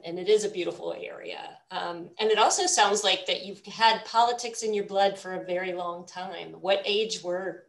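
A woman's voice: medium pitch, roomy sound, poor audio. A woman hosting a video call interview, reading an introduction in a friendly manner. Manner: friendly, reads the introduction with restarts. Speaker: interview host, moderator. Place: room, indoors.

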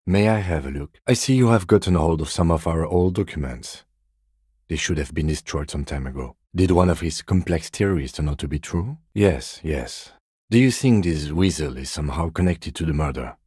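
A man voicing a composed, methodical police detective in a video game, speaking in a measured, formal, understated way. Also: sits low, darkish tone, husky, no echo, clean studio sound. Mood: dry, businesslike. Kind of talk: video game character dialogue.

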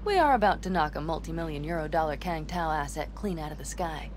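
A woman's voice: low voice, lively intonation, fast, slight background noise. An actress in a video game playing a brash, hot-headed young nomad woman, confident, cocky and sweary. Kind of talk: video game cutscene acting.

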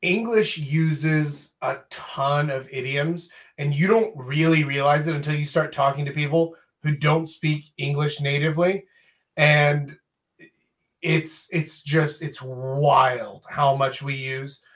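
A man in his thirties hosting a livestream from a home room on a studio mic, giving emphatic advice and acting out slow, spaced-out example lines. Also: fairly high pitch, steady tone, fairly bright tone. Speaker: host and dating coach. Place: home room with a couch and guitars. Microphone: studio mic on a stand.

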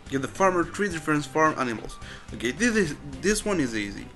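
A man gives casual let's-play commentary, halting and thinking aloud as he plays. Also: fairly high pitch, big pitch swings, a bit bright, soft background music. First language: Spanish. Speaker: gamer commentating his play.

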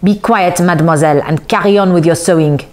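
A woman voicing a stern, disapproving older seamstress in a storybook, with a sharp, scolding manner. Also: fairly low pitch, animated, a little fast, lightly acted.

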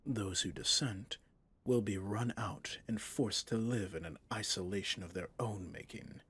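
An actor playing a possessive, manipulative villain prince in an ASMR roleplay drama, speaking softly in measured, courtly phrases with a breathy, husky voice. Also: male voice, lowish voice, exaggerated voice.

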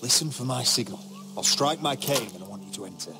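A man's voice: mid-range voice, expressive, quick pace, very noisy, natural voice. A man playing a stern, protective young lord in a video game drama, resolute and commanding. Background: music.

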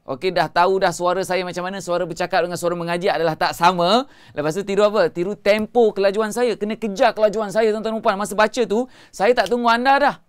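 A Malaysian man in his thirties leads a streamed Quran lesson from a small studio. His voice sits high for a man and sounds smooth and resonant. He speaks on a lapel mic, emphatic and animated, and repeats each key line. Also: fast talker. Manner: emphatic, repeats phrases, gestures a lot. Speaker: Quran recitation coach. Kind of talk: live-streamed religious lesson. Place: studio before a green-screen hedge backdrop.

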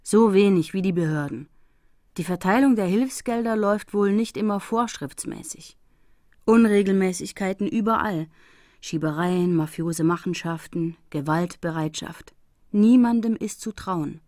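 An actress playing a determined female investigator in a crime audio drama, narrating like a diary in a brisk, matter-of-fact way. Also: medium pitch, a little mellow, no echo, studio quality, close to an everyday voice. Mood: sober. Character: determined female investigator reporting on her travels.

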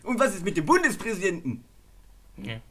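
A fussy, self-important politician, played by a man in an improvised radio parody. The voice is raspy and swings widely in pitch, with formal phrases that keep stumbling. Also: huge pitch swings, a little fast, sharp tone, full character voice. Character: dim, smug, fussy male politician in a parody.

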